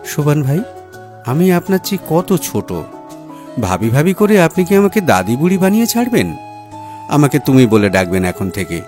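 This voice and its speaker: A man's voice: on the high side, big pitch swings, normal speed, long pauses, a little mellow, pitched above the performer's own voice. A man voicing a young housewife in an audiobook story, chatty and teasing, affectionate with a wistful streak. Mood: mock scolding. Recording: music under the voice.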